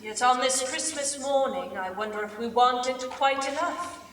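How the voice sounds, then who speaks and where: A woman reading the part of an earnest, wistful young British soldier in a staged letter narration, measured and expressive. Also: high-pitched. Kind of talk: staged reader's theater, scripted letter narration. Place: large theater stage indoors. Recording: echoey stage, distant mic, noisy.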